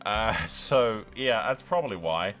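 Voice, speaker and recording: A man hosting a game playthrough, commentating in an excitable, sweary, bantering way. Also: on the low side, a little slow, faint music behind. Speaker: host of a gaming channel. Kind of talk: playthrough commentary with in-character narration.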